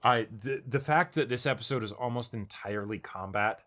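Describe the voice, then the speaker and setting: A man co-hosting a reaction show, talking casually and emphatically in an animated way. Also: mid-range voice, normal speed. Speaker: co-host of an anime reaction show.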